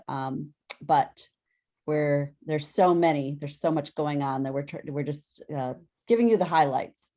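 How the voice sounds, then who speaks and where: A woman's voice: low-pitched, a little mellow. A woman briefly setting up a legislative update during a board meeting, organized and to the point, over a video call. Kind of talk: meeting report.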